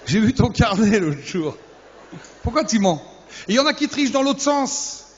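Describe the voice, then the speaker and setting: A man's voice: very high voice, big pitch swings, normal speed, a little hiss or hum. A male youth pastor preaching to young people, teasing and humorous, firing off rhetorical questions and repeating key words for emphasis. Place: church hall. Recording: echoey hall, dull lo-fi sound.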